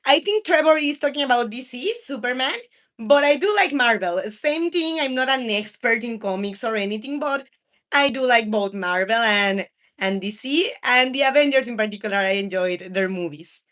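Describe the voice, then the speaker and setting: A woman in her thirties speaks in a somewhat high-pitched voice with a Mexican accent. A native Spanish speaker, she hosts a video game livestream. She talks casually, answering her viewers as she goes. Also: animated, moderate pace, a bit bright. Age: 30s. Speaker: video game live streamer.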